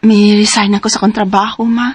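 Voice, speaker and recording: A woman in her forties with a Cebuano accent playing a single daughter who cares for her mother in a radio drama, earnest and rising high in surprise. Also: flat delivery, brisk, close to an everyday voice. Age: 40s. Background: music.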